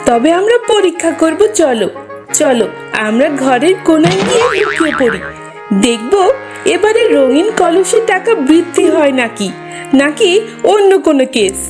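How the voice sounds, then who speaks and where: A woman's voice: high voice, big pitch swings, normal speed, over music. A woman voicing a bossy, shrewd fox wife in a comic cartoon, speaking in an emphatic, questioning and commanding way. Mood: suspicious.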